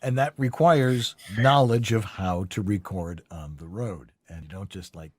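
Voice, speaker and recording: A man's voice: fairly low pitch, unhurried, dry recording, clean studio sound. A man co-hosting a voiceover podcast, chatting casually and putting questions to the guest. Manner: animated, asks the guest questions. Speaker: podcast co-host. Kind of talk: casual interview chat.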